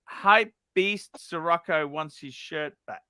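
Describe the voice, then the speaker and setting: An excitable man hosting a livestream, jokey and loud as he reads donations and calls out to chatters. His voice sits high and sounds bright and forceful. The sound is dry and close, with little room around it. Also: slow, smooth, no echo. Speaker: livestream host. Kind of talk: casual livestream chat, reading out donations.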